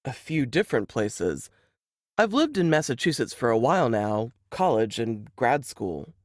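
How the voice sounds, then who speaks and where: A man's voice: medium pitch, big pitch swings, unhurried, no echo, clean studio sound, voice pushed down. A woman voicing a shy, nervous young male grad student in an audiobook story, hesitant and polite. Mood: bashful, reserved. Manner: hesitant, embarrassed, apologetic. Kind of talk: audiobook character dialogue.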